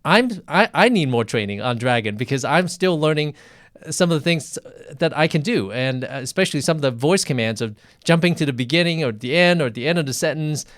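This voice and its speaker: A man hosting a conversational live interview as a physician, speaking haltingly and repeating phrases, in a quiet sound-treated room. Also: fairly high pitch, expressive, no room sound, studio quality.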